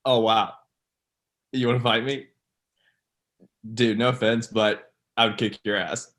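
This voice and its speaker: A man's voice: mid-range voice, steady tone, a little slow, a bit bright, lightly acted. Laid-back young male rival, acted by a man. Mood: unbothered. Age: young adult. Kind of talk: acted pilot table read, naturalistic. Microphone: computer video-call mic.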